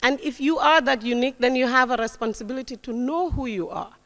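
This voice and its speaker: Fairly high-voiced woman in her forties. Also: fast. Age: 40s. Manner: warm, emphatic, repeats key words, frequent "right?". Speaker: guest speaker. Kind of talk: motivational talk to young audience. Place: lecture hall. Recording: long hall reverb.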